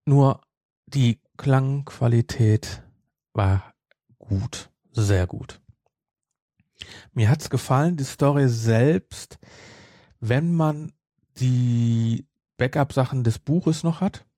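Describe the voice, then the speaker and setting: Mellow, slightly husky man, casual podcast talk. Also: mid-range voice, no room sound, clean studio sound. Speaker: podcast co-host. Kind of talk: casual review chat.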